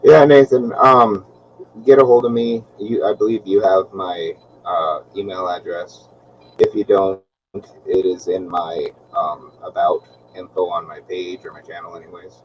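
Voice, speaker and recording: A man hosting a casual aquarium livestream, talking in a calm, relaxing, laid-back way with frequent ums. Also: low voice, measured pace, husky, distant mic, muffled recording. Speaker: aquarium channel host.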